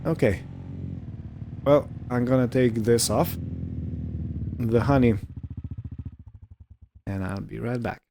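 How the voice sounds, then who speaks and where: A man's voice: mid-range voice, slow, vocal fry, long pauses, dark tone, a little hiss or hum. A man giving casual roleplay gameplay commentary, musing aloud as he narrates what he is doing, with faint vehicle and ambient sound behind him. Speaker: gaming video host.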